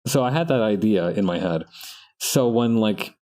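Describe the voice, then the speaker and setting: Chatty young man, low voice, lively swings. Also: moderate pace. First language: Spanish. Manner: chatty, meandering, laughs often, trails off mid-sentence. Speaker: artist streaming practice. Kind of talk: casual rambling commentary while drawing.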